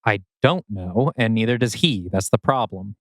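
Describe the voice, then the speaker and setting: A man's voice: on the low side, lively intonation, moderate pace, fairly bright tone, no room sound, studio quality. A man playing a guarded, tense stay-at-home father in a script reading. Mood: defensive.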